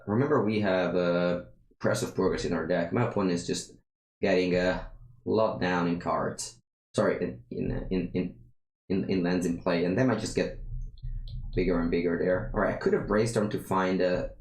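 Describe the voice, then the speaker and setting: Deep-voiced Italian man commenting gameplay. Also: a little mellow, reverberant, poor audio. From Italy. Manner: thinks aloud with frequent restarts. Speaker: streamer playing a card game.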